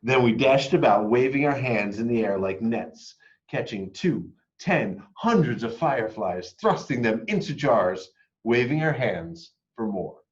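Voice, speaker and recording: A man reading a children's picture book aloud expressively, moving between hushed and emphatic delivery. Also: medium pitch, animated, a little slow, highs cut off. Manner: dramatic, hushed and emphatic by turns. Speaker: read-aloud storyteller.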